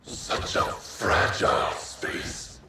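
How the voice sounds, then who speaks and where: A man voicing a menacing dark entity in a game cutscene, savoring pain and fear with slow, sinister, drawn-out words. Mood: gloating menace. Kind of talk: video game cutscene acting. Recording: roomy, echoing, distant, with noise behind.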